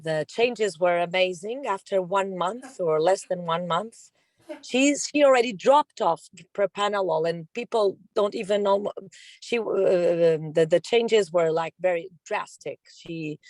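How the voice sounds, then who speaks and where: A woman's voice: medium pitch, darkish tone, no echo. A woman from Portugal with a Portuguese accent, a parent asking a question on a video call and searching for her words.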